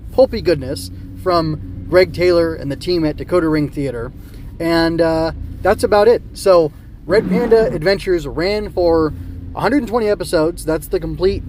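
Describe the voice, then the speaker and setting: Male podcast host, slightly high voice, digressive and unscripted, faint vehicle-like noise behind. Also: darkish tone. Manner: rambling, self-correcting, digressive. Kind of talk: unscripted solo podcast talk.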